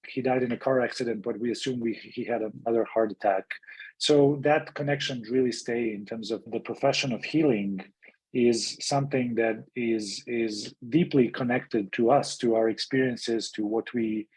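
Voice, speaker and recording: A man from Serbia, a middle-aged physician and activist, gives a thoughtful interview answer. His voice sounds husky and a little breathy. He tells his story in a reflective way and often restarts his phrases. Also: mid-range voice, steady tone, normal speed, a little mellow, poor audio. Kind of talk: interview guest.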